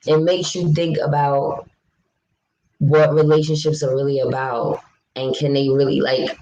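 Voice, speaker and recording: A young woman from New York with a deep voice, chatting casually with viewers on a livestream in a frank, talkative way. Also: darkish tone, a little hiss or hum, rough recording. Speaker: livestream vlogger. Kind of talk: casual live chat, answering viewer comments.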